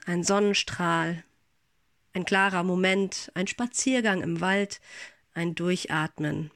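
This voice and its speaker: Woman pastor, middle-aged, calm and reflective devotional read, a touch congested, dry close sound. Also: lowish voice, no room sound. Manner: calm, gentle, reflective address to listeners. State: recovering from an infection, slightly congested. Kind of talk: spoken devotional.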